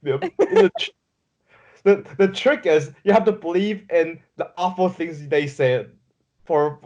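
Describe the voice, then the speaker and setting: A man's voice: fairly high pitch, expressive, unhurried, a little mellow, lo-fi sound. A man co-hosting a casual podcast, talking hesitantly with frequent restarts. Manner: hesitant, stammering, laughs often. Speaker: podcast co-host.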